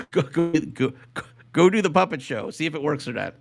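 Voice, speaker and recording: A man's voice: fairly high pitch, expressive, moderate pace, vocal fry. A rambling, jokey male podcast host. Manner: rambling, jokey, reads out list items with commentary. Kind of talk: casual comedic podcast chat.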